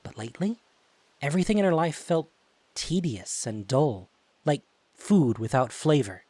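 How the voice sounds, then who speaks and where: A man's voice: on the high side, very animated, measured pace, mellow, slightly rough, no room sound. A man narrating an audio drama in a scripted storytelling style. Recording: faint hiss.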